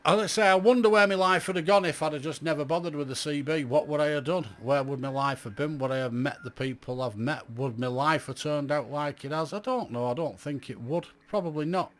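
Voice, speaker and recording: A man's voice: a little high, fairly quick, few pauses. Blunt chatty man in his fifties. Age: 50s. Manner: blunt, chatty, self-described grumpy, lots of "you know". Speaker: radio amateur vlogger. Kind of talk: reflective casual monologue to camera.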